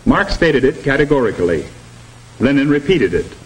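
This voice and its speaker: An actor with an American accent playing an earnest, didactic instructor in a 1950s educational propaganda film. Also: male voice, medium pitch, big pitch swings, natural voice. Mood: stern, didactic. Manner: didactic, measured. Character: earnest male anti-communist instructor lecturing students. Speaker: instructor presenting a lesson. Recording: noisy old 1950s film soundtrack.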